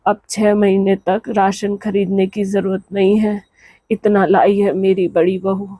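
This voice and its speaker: A woman's voice: medium pitch, flat delivery, measured pace, warm tone, no echo, lightly acted. A woman voicing a scolding, reproachful older mother-in-law in a cartoon moral story.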